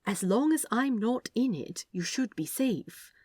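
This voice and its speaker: A woman voicing an anxious, fussy male angel in an audiobook story, earnest and halting as he explains himself. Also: fairly high pitch, expressive, a little mellow, slightly rough, dry recording, pitched above the performer's own voice. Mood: earnest, explaining.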